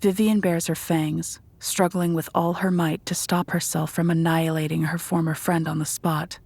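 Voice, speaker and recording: A woman's voice: fairly low pitch, flat delivery, normal speed, gravelly, a little mellow, dry recording. A woman reading scripted, dramatic narration for a horror audio drama.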